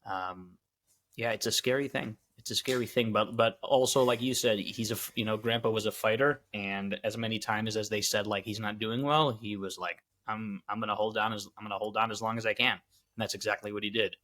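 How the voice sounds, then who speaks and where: A man's voice: on the low side, fairly even intonation, brisk, a bit bright. A young man co-hosting a podcast, speaking reflectively and hesitantly in casual chat. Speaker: podcast co-host.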